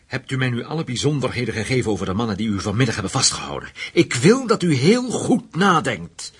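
A man's voice: medium pitch, very animated, quick pace, a bit bright, slightly rough, heavily acted. A man acting in a radio play as a hard, vengeful investigator, menacing and sardonic as he interrogates. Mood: threatening. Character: hard, vengeful male investigator, survivor of Nazi camps.

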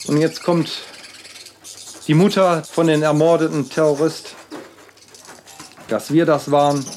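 Halting man recounting in a plain voice. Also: on the high side, a little hiss or hum. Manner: halting, with frequent fillers and restarts. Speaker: artist. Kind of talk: rambling personal account in a radio feature.

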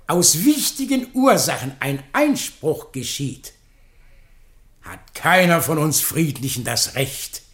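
A sarcastic, self-important defence advocate in a radio drama. His pleading swings dramatically in pitch, from hushed to shouted, in declamatory oratory. The room around him echoes noticeably. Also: heavily acted. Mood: arguing, sardonic. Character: theatrical, indignant, sarcastic male defence lawyer pleading in court. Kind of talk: theatrical German radio play oratory.

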